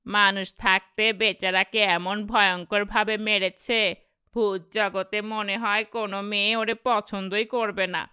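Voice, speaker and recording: A woman's voice: mid-range voice, flat delivery, measured pace, pushed and bright, clean tone, no echo, studio quality. A woman with a Bangladeshi accent voicing a silly, boastful, chatty ghost in a cartoon. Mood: chatty, aggrieved. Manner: boastful, chatty, simple-minded. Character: silly, boastful, friendly ghost, not very scary. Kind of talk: cartoon character dialogue.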